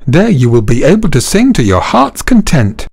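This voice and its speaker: A man's voice: a little high, wildly swooping pitch, normal speed, creaky, darkish tone, husky, no room sound, clean studio sound, pitched above the performer's own voice, heavily acted. A man putting on an old, stubborn she-donkey. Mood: cheerful. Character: old stubborn female donkey, hopeful and friendly.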